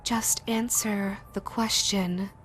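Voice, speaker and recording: A woman playing a female yokai ghost in an audio roleplay, theatrical and deliberate, menacing yet easily flustered. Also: medium pitch, steady tone, fairly bright tone, faint music behind. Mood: eerily polite. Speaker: voice actress. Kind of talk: audio roleplay, character speaking to listener.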